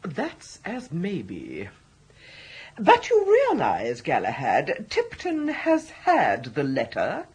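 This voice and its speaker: A disapproving, upper-class English older sister in a radio comedy. Her voice is very husky and leaps about in pitch. She is brisk and haughty, every phrase a command. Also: wildly swooping pitch, darkish tone, full character voice. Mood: stern, disdainful. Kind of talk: BBC radio comedy drama acting.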